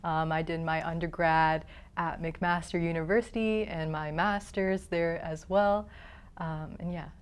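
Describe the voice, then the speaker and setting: A woman's voice: deep voice, steady tone, measured pace, clear, resonant tone. A young woman from Canada chatting hesitantly as a student guest in an interview. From Toronto, Canada. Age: young adult. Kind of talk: casual interview chat.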